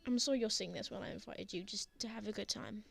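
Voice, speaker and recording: A woman playing a prickly, flustered, lovestruck young man in an intimate ASMR roleplay, with halting, sardonic delivery. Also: medium pitch, creaky, breathy edge, soft background music. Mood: awkward, apologetic.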